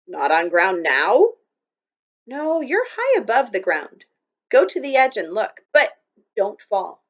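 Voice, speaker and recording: An eager young boy talks high and loud, bursting with excitement, as a woman narrator acts him out. The storybook recording sounds a little dull. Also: slow pace, full character voice.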